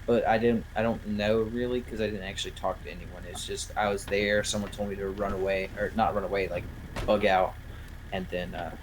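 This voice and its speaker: A man's voice: lowish voice, steady tone, fairly quick, slight background noise, rough recording. A man chatting casually in an online roleplay game, hesitant and rambling. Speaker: new roleplay player.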